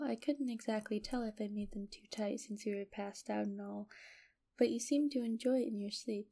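Girl doing a creepy obsessed kidnapper lady, highish mellow voice, whispery and cooing, audio roleplay. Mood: coaxing, soothing. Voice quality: soft, often dropping into breathy whispers. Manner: coaxing, cooing, condescendingly affectionate. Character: obsessive possessive kidnapper woman, sweetly menacing, infatuated. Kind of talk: intimate first-person audio roleplay.

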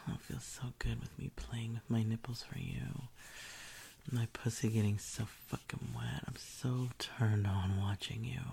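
A woman doing an intimate whispered audio roleplay, teasing and commanding in a breathy whisper close to the mic. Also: very deep voice, a little mellow, a little hiss or hum.

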